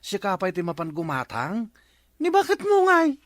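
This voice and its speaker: Actor as a blustering Ilocano village husband, swooping pitch. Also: male voice, huge pitch swings, normal speed, a bit bright, no echo, clean studio sound, full character voice. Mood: mocking. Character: slack, boozy village husband living off his wife's remittances. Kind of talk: comedy skit acting.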